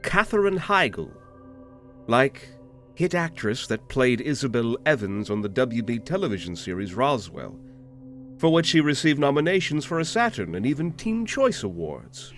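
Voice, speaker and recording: An actor playing a distinguished, learned gentleman who speaks in a formal, measured, polite manner with a gravelly creak. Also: mid-range voice, very animated, soft background music, close to an everyday voice. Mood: bemused, polite.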